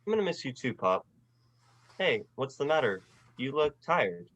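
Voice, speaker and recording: A man's voice: mid-range voice, big pitch swings, normal speed, fairly bright tone, no room sound. Man playing an earnest young dreamer, dry sound. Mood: restless, earnest. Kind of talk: 1940s-style radio play acting.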